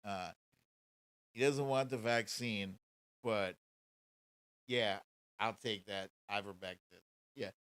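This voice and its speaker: A man's voice: fairly low pitch, slow, pauses often, a bit bright, clear, resonant tone, dry recording. A man hosting a casual political commentary livestream in a sarcastic, mocking and profane manner. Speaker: show host.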